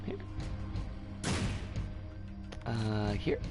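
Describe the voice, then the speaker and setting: A man with a scratchy, hoarse voice from an oncoming cold, chatting casually and opinionatedly with some swearing as he streams a game. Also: fairly low pitch, animated, very slow, over loud music. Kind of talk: casual live game commentary and chat.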